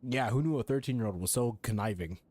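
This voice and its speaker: A man's voice: mid-range voice, quick pace, a little mellow. A man giving casual, offhand commentary while playing a story game, blunt and sweary.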